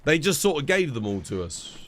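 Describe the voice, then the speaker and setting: A man's voice: on the high side, big pitch swings, fairly quick, slight background noise. A man, stuffed up with a cold, casually chatting and answering viewers while streaming a game. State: congested, stuffed-up nose. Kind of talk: casual live-stream chat.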